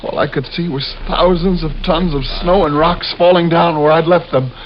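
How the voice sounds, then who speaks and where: An actor playing a grieving, exhausted young widower who halts and repeats words, in old-time radio drama style. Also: male voice, fairly high pitch, fairly even intonation, normal speed, natural voice. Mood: horrified. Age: young adult. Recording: dull, hissy old radio recording.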